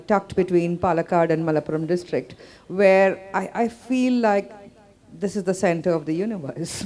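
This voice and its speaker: A middle-aged woman on an outdoor festival stage speaking into a handheld microphone, answering thoughtfully with pauses and restarts. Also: mid-range voice, a little mellow. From India, grew up outside Kerala. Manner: thoughtful, reflective, with fillers and restarts. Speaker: author on a festival panel. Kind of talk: panel discussion answer.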